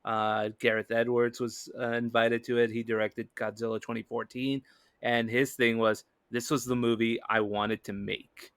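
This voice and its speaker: A man's voice: mid-range voice, little pitch movement, normal speed, a bit bright, smooth, no echo, studio quality. A man chatting casually about films as a podcast co-host. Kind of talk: casual film discussion.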